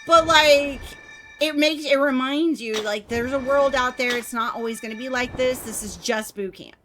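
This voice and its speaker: A woman's voice: high voice, moderate pace, background noise. A young adult woman from the United States hosting a stream, chatting casually and giggling, with many "like" and "you know" fillers. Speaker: stream host. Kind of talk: casual chat with a guest.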